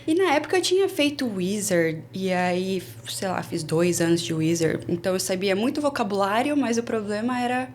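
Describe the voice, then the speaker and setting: A Brazilian woman in her twenties, a former au pair, telling her story in a casual interview with lively restarts. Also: medium pitch, moderate pace, hardly any pauses, a little hiss or hum. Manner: chatty, animated, many restarts.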